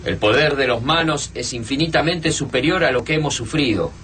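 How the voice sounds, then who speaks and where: An actor with an Argentine accent playing a wary, pessimistic, sober resistance survivor in a radio drama. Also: male voice, mid-range voice, animated, brisk, fairly bright tone, a little hiss or hum, close to an everyday voice. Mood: firm, wary.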